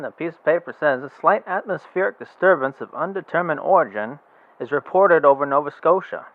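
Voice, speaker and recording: A man's voice: on the high side, no room sound, lightly acted. An actor playing a chatty, folksy male radio announcer reading the weather and news. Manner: casual, folksy, upbeat chatter. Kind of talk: amateur radio drama acting. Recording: tinny phone-like radio sound, very dry.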